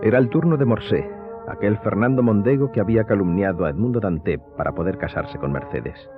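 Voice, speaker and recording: A man narrating a radio serial in a scripted, dramatic and measured storytelling style. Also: lowish voice, phone-quality sound, music in the background.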